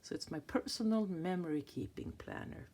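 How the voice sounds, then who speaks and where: A woman's voice: lowish voice, expressive, normal speed, darkish tone, breathy edge. Hesitant Swedish woman in her fifties. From Sweden. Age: 50s. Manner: hesitant, searching for words, many restarts. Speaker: planner vlogger. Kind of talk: casual flip-through chat. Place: indoors at a table, hands on a planner.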